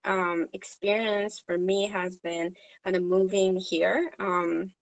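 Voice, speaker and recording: Slow, hesitant woman. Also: lowish voice, flat delivery, creaky, poor audio. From Korea. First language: Korean. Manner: hesitant, many fillers and restarts. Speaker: social worker. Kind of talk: supervision meeting conversation.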